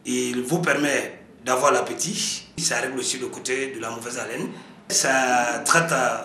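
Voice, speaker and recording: A man's voice: medium pitch, unhurried, pushed and bright. A man, a health expert, listing points in an interview with some hesitations. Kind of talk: interview explanation. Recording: echoey room with faint noise.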